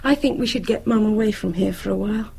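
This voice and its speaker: An actress playing a troubled, weary daughter and wife in a naturalistic radio drama, speaking earnestly. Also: female voice, mid-range voice, quick pace, vocal fry, a little mellow, husky. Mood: subdued, earnest. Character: downcast, tense adult daughter and wife, troubled.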